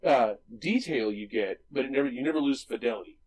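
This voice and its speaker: A man's voice: mid-range voice, brisk, a little mellow, breathy edge, low-quality recording. A man co-hosting a movie podcast, explaining film visuals enthusiastically in casual chat, with frequent restarts and tag questions. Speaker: podcast co-host.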